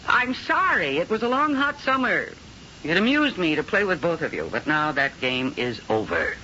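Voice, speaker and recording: An actress playing a world-weary, middle-aged film star who feigns cruel indifference, in theatrical 1970s radio drama style. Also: female voice, deep voice, big pitch swings, normal speed, sharp tone, a little hiss or hum, exaggerated voice. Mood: breezily cruel. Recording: muffled 1970s radio broadcast with music.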